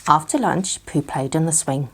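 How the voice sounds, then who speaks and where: A woman narrating a children's bedtime story as an expressive storyteller. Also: low-pitched, moderate pace, slightly rough.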